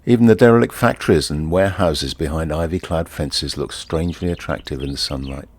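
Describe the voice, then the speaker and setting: An actor playing a wry, easygoing ordinary bloke who confides in the listener in a leisurely first-person monologue. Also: male voice, extremely low pitch, fairly quick, darkish tone, slightly rough, dry recording, heavily acted. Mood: tranquil, musing. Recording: outdoor ambience with birdsong behind. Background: birdsong and outdoor ambience.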